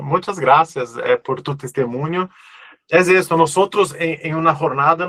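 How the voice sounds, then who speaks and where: Un hombre con acento portugués brasileño que da una clase en línea por videollamada, cordial y tranquilizador, que pregunta a menudo "¿ok?". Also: male voice, a little high, bright, forceful tone, dry recording. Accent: Brazilian Portuguese. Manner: friendly, reassuring, checks in with "ok?". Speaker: online course instructor. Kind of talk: live online class answering questions. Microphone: video call.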